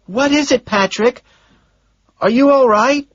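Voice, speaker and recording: A man voicing a soft-voiced, flustered young woman secretary who keeps apologizing and repeating herself. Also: extremely high pitch, a little slow, no room sound, muffled recording, pitched above the performer's own voice, heavily acted. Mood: worried. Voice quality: soft, breathy.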